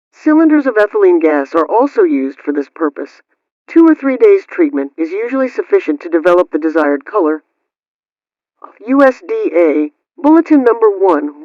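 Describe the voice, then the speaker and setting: A woman's voice: lowish voice, dry recording, highs cut off, close to an everyday voice. A woman playing a prim 1920s radio homemaking host, reading household advice in a formal, instructional way over a band-limited old-radio sound.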